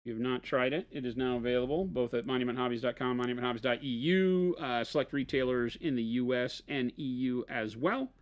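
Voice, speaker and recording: A man's voice: mid-range voice, fairly quick, hardly any pauses, lo-fi sound. A man explaining model painting techniques in casual livestream talk, often checking in with "right?". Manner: explaining, fillers and restarts, says "right?".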